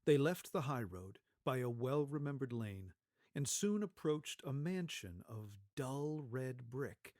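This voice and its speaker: Slow, theatrical male story narrator. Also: mid-range voice, lively intonation, a little mellow, no room sound, studio quality. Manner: theatrical, deliberate phrasing. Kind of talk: dramatic scripted story narration.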